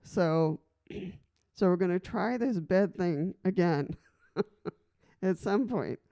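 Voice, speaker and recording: Slow-talking woman from Costa Rica. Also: on the low side, lively intonation, creaky, warm tone, highs cut off. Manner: chatty and rambling, with many fillers and frequent laughs. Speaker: vlogger. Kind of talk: casual personal vlog talk.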